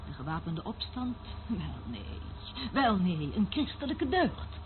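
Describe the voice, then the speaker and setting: An actress playing a weary, cynical surveillance worker in a radio play, speaking in a dry, dismissive way. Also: female voice, mid-range voice, sing-song, a little slow. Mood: dry, mocking. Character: weary, cynical woman working as surveillance eavesdropper. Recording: noisy, tinny band-limited old radio recording.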